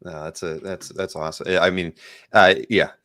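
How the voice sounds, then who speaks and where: Halting, low-voiced host from the Midwest. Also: male voice, level tone, pushed and bright, no room sound, studio quality. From American Midwest. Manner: halting, with many fillers and repeated words. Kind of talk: casual live-stream hosting.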